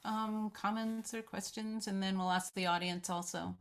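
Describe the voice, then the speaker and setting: A woman's voice: medium pitch, fairly even intonation, moderate pace, a little hiss or hum. A woman moderating a casual question-and-answer session in an informal, conversational way. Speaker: moderator of the reading.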